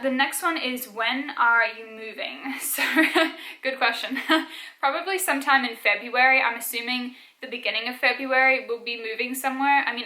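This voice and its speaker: A woman's voice: fairly high pitch, pushed and bright, echoey room. A woman in her twenties from South Africa, with an accent mixing South African, Australian and American, chatting casually through a Q&A vlog and filling it with "like.". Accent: mixed South African, Australian and American. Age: 20s. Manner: chatty, many "like" fillers, frequent light laughs. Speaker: vlogger.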